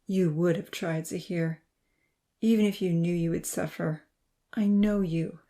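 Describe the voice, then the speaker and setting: Woman reading a gentle, reassuring male friend. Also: on the low side, fairly even intonation, a little slow. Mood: earnest. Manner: gentle, earnest, firm. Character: loyal, compassionate male friend, calm and reassuring.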